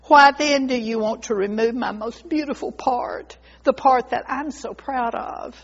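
A woman's voice: medium pitch, lively intonation, moderate pace, husky, big-room sound. A woman voicing a proud, devoted bamboo tree in a storybook tale, speaking in an earnest, dramatic way. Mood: anguished pleading. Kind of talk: storybook character voice. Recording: dull lo-fi recording.

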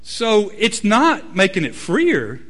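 Guy preaching a sermon, kinda high voice, bounces all over the place, loud then low. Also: slow pace. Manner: animated preaching, swings from loud emphatic peaks to low quiet asides. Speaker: pastor.

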